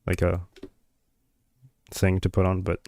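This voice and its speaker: Low, flat-voiced man musing. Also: unhurried, vocal fry. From Georgia. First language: Georgian. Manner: hesitant, many fillers and trailing thoughts. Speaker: streamer. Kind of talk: thinking aloud while working on a live stream.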